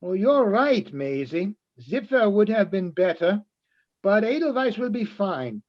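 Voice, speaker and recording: Man playing a slow-paced, animated bar regular. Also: high-pitched, lo-fi sound, exaggerated voice. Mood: friendly. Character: friendly Austrian-toast-teaching bar patron, adult man. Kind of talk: radio-play style readers theatre acting.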